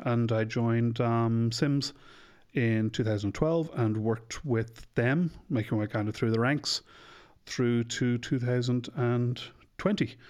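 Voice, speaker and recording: A man's voice: medium pitch, darkish tone. A man in his forties with an Irish accent, a doctor chatting openly as a podcast guest and thinking aloud as he goes. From Ireland. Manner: chatty, self-deprecating, thinks aloud with restarts. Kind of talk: casual podcast interview.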